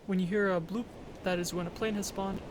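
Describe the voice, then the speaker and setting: A woman's voice: medium pitch, fairly even intonation, brisk, background noise. A woman giving an informal, matter-of-fact presentation as a student, explaining and narrating a game demo.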